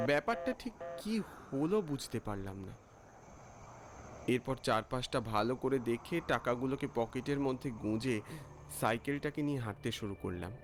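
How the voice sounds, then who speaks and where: A man playing an irritable, thrifty office worker, telling his eerie evening as an expressive first-person cartoon story. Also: mid-range voice, lightly acted. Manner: expressive, exclaiming and questioning in dialogue. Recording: music bed behind the voice. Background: music.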